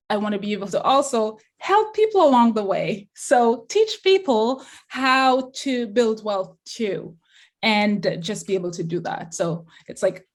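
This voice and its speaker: Chatty Jamaican woman guest. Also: mid-range voice. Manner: quick and chatty, many fillers. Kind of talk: casual interview chat.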